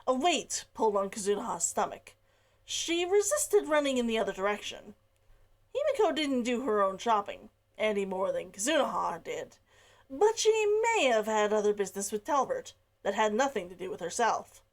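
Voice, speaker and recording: Lively woman reading a story aloud. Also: mid-range voice, normal speed. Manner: giggly, laughs between sentences. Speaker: read-along narrator. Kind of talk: casual intro and story reading.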